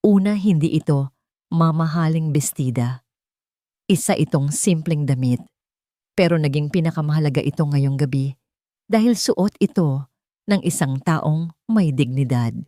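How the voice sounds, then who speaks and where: A woman narrator playing a dignified billionaire in a romantic audio drama. He speaks calmly and firmly, with protective weight, in a dry recording. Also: deep voice, a little slow, pauses often, no echo. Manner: calm, weighty, firm.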